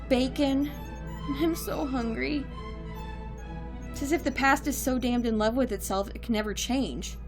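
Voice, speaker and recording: A sardonic woman time traveler, played by an actress grumbles her way through an audio drama. Her voice is fairly high, with a dry, exasperated, theatrical edge.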